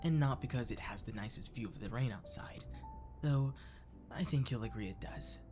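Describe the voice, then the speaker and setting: A man acts out a friendly catboy cafe waiter for an ASMR roleplay. He is courteous and flirty, coaxing the listener gently at a medium male pitch, with clear music behind him. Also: normal speed, close to an everyday voice. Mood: gentle, inviting. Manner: attentive, gently coaxing, lapsing into whispers. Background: clear background music.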